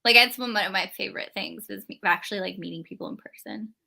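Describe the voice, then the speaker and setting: A woman's voice: mid-range voice, fast talker, creaky, bright, forceful tone. A woman co-hosting a live book readalong show, chatting casually and animatedly with a friend. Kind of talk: casual chat between friends.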